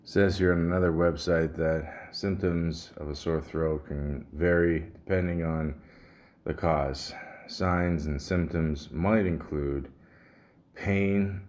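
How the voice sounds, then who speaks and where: Deep-voiced man, muffled and grumbling. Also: fairly even intonation, takes it slowly, a little mellow. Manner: rambling, grumbling, profane. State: sore throat. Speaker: podcast host. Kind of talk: casual solo podcast rambling, reading symptom lists aloud.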